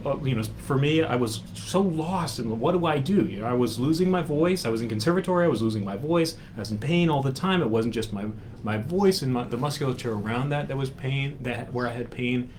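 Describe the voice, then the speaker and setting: A man's voice: medium pitch, darkish tone, a little hiss or hum. A man co-hosting a casual chat, telling a personal story in a reflective, rambling way, often adding "right?". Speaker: music teacher, co-host.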